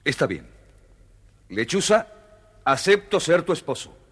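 An actor playing a dignified caliph in a children's story record, stately and dramatic. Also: male voice, on the high side, sing-song, unhurried, big-room sound. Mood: resigned. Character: noble caliph turned stork, dignified, resigned.

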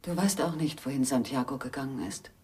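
An actress playing a hushed, anxious woman in a noir radio drama, with a breathy, husky voice. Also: very deep voice, expressive, brisk, heavily acted. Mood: quiet, anxious. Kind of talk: German radio drama acting.

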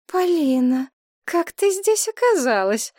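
A scornful middle-aged mother, put on by a woman reader, her voice pitched up high and sharp. She talks down with cutting, indignant mockery. The recording is dry and close. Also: very animated, moderate pace, dry recording. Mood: bewildered. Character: offended middle-aged mother, mocking and defensive.

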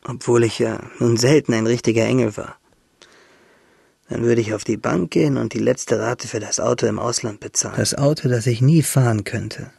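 A husky, gravelly shipwrecked young seaman. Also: mid-range voice, a little mellow, no room sound, clean studio sound. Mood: wistful. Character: shipwrecked young seaman, swimming in darkness, wistful, tender. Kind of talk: radio drama monologue.

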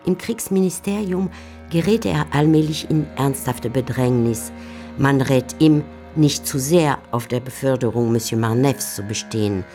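A woman's voice: rumbling low, normal speed, mellow, soft background music. A woman giving composed, articulate scripted narration for a literary radio drama.

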